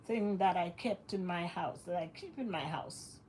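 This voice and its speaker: A woman's voice: lowish voice, normal speed, a little mellow, a little hiss or hum, rough recording. A West Indian woman talking casually to her vlog viewers, sounding congested and nasal from illness and repeating phrases for emphasis. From West Indies. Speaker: vlogger. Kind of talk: casual monologue to camera.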